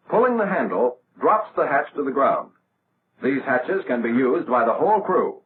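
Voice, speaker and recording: An American man narrating a 1940s military training film in a measured, instructional way, as a scripted voice-over. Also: medium pitch. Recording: tinny, band-limited, noisy old film soundtrack.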